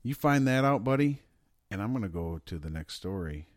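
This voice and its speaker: A man co-hosting a podcast in casual chat, excitable and swearing as he riffs on questions. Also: lowish voice, brisk, no echo, studio quality. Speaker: podcast co-host.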